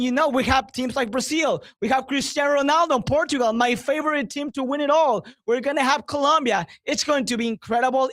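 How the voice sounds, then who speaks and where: A man's voice: very high-pitched, fairly bright tone, dry recording, clean studio sound. A man talking up sports as a radio guest, emphatic and driving points home with repetition. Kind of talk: promotional sports talk.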